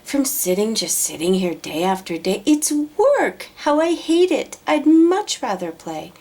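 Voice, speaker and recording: A woman's voice: fairly high pitch, sing-song, measured pace, darkish tone, voice pushed up, heavily acted. A woman voicing a lazy female bird in a children's storybook, whiny and sweetly wheedling. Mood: whiny complaining. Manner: complaining, pleading, sweetly coaxing. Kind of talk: children's storybook read-aloud.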